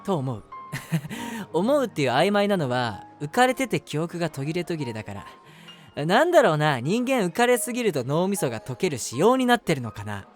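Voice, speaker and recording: A man performs a lovestruck young man in a romantic audio drama. His voice sits a little high and swings widely in pitch as he talks in an earnest, self-deprecating, easily flustered way. Faint music plays behind him. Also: sing-song, normal speed. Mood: giddy, eager. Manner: chatty, self-deprecating, earnest, hesitant asides. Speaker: voice actor.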